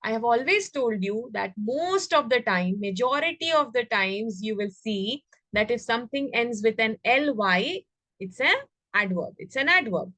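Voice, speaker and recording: A woman teacher with an Indian accent and a fairly high voice leads a children's English class online. She is instructive, often repeating questions to prompt her pupils. The sound is dry, with little room echo. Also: expressive, moderate pace, sharp tone. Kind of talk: interactive online English lesson for young children.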